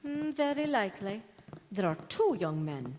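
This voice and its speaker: An actress playing a tender, loyal older confidante in an old-time radio drama, with a fond, sympathetic manner. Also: female voice, medium pitch, big pitch swings, moderate pace, darkish tone, a little hiss or hum, natural voice. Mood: reassuring, reflective. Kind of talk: 1940s radio drama acting on stage. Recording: echoey hall, long reverb.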